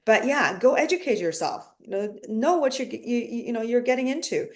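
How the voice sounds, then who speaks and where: A woman's voice: a little high, fairly quick. Chatty woman doctor, lots of fillers. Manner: animated, many fillers and restarts. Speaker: guest, stem cell physician. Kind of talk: casual interview chat.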